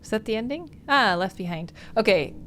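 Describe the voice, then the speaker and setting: A woman game streamer on a livestream playthrough, reading and talking through a visual novel in an expressive storytelling way. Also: mid-range voice, a little fast, a little hiss or hum.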